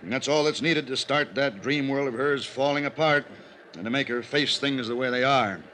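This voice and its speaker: An actor playing an anxious, insistent older business manager in an old-time radio drama, speaking earnestly and urgently. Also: male voice, mid-range voice, moderate pace, pushed and bright, lightly acted. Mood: earnest, troubled. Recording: noisy old radio recording.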